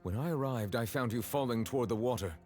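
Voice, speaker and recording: A man voicing a reserved chief judge in a video game, speaking in a formal, measured and socially stiff way. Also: medium pitch, darkish tone, faint music behind, lightly acted. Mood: calm, grave.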